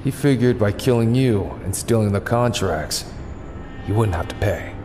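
A man plays a composed masked vigilante detective in an audio drama. The voice is low and stern, laying out facts with authority. Faint background noise sits under it. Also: moderate pace, long reverb tail, close to an everyday voice. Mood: stern, explaining.

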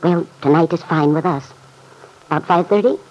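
An actress playing a kindly, shrewd aunt in an old-time radio soap, gently prodding in a homely, measured way. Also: female voice, deep voice, poor audio. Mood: kindly encouraging.

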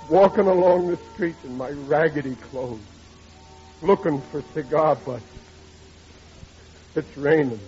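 A man's voice: fairly high pitch, warm tone. An actor playing a melodramatic, woeful middle-aged working-class father in 1940s radio comedy style, whining and ungrammatical. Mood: mournful daydreaming. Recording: dull noisy old radio recording.

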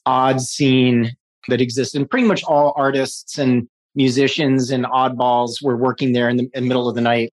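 A man's voice: medium pitch, flat delivery, normal speed. Rambling man in his forties. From New York City. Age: 40s. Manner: rambling, many restarts and fillers, laughs often. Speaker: guest. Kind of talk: casual interview conversation.

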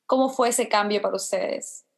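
A Peruvian woman hosting a podcast, asking her guest slow, careful interview questions. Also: mid-range voice, creaky. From Peru. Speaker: podcast host.

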